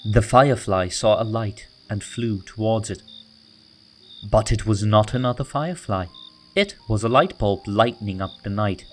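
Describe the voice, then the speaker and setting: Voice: medium pitch, moderate pace. Children's storybook narrator over music. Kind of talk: scripted read-aloud narration for children. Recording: music bed under the voice.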